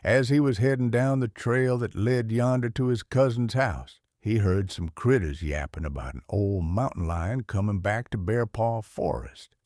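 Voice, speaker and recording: A man with a Southern US accent reading a children's storybook aloud in a folksy, expressive way. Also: on the low side, moderate pace, hardly any pauses, dry recording, studio quality. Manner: folksy, animated.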